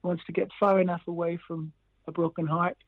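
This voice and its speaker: A male musician chatting as a guest in a relaxed, rambling way, with frequent chuckles. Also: sits high, a little fast, dry recording. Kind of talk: casual interview chat. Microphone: phone line. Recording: tinny dry phone line.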